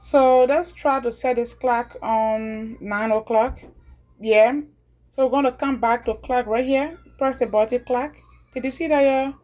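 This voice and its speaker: Liberian woman, home video creator, somewhat high voice, casual and repetitive step-by-step delivery. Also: fairly even intonation, a little mellow. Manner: chatty, repeats steps slowly.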